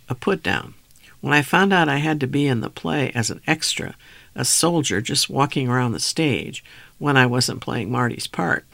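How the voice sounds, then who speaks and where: A man reading a first-person memoir aloud, then reading out descriptions of old photographs in a steady, measured way. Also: medium pitch, vocal fry, dry recording. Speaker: reader.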